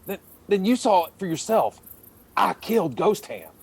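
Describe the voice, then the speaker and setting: Man hamming up a husky, pompous hero. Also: high-pitched, sing-song, normal speed, pitched above the performer's own voice, full character voice. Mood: noble. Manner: mock-heroic, declamatory. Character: pompous, melodramatic hero defending the innocent with a lightsaber. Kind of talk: hammy comic radio play acting.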